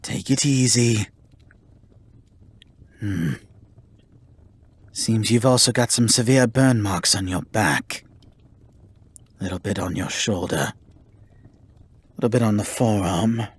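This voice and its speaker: Man as a husky, haughty male fox spirit. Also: on the low side, takes it slowly, pauses often. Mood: matter-of-fact, fussy. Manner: formal, theatrical, exasperated scolding. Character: haughty, tsundere male kitsune forest spirit, grudgingly caring. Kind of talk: ASMR roleplay acting, addressing the listener.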